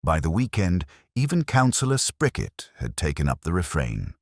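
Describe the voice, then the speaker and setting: A man tells a cozy mystery with wry, unhurried storytelling. His voice is very deep, yet his pitch rises and falls with lively expression. The recording is dry and close, with no room sound. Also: no room sound. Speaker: narrator. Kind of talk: scripted mystery narration.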